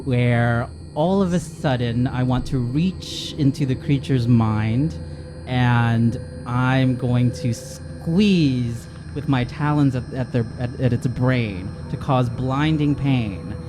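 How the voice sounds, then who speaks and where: A man's voice: medium pitch, slow. Male tabletop player, music behind him. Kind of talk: casual in-character game play. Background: background music.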